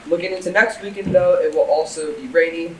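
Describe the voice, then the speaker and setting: A teenage boy giving the school weather report outdoors in the rain in a steady, scripted way. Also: on the high side, fairly quick, reverberant, far from the mic, a little hiss or hum, low-quality recording. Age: teens.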